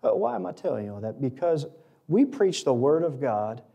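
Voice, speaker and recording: Mellow American preacher, higher-pitched man. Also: big pitch swings, normal speed, vocal fry, muffled recording. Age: middle-aged. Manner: emphatic, short repeated phrases for rhetorical effect. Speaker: pastor preaching. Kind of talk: sermon. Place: auditorium stage at a lectern, indoors. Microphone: lectern microphone.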